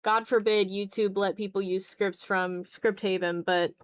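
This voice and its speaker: A woman's voice: mid-range voice, steady tone, unhurried. A woman chatting casually on an art livestream, thinking aloud in a hesitant, self-deprecating way. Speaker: streamer and content creator. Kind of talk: casual livestream chat while drawing.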